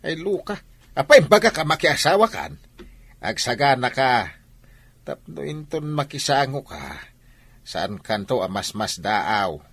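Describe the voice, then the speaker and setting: Bright, husky-voiced stubborn country father acted by a man. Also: mid-range voice, sing-song, a little slow, dry recording, exaggerated voice. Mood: scolding, insistent. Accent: Ilocano. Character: teasing, stubborn rural father arranging his daughter's marriage. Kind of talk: Ilocano radio drama acting.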